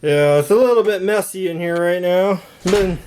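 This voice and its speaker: A man with a slightly high voice hosts a casual equipment demo. He talks informally, rambling and restarting his phrases as he goes. There is noticeable noise and some clicking behind him. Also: moderate pace, darkish tone. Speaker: hobbyist video host demonstrating equipment. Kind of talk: casual walkthrough demonstration. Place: home rooms and workshop. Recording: clearly noisy room with clicks.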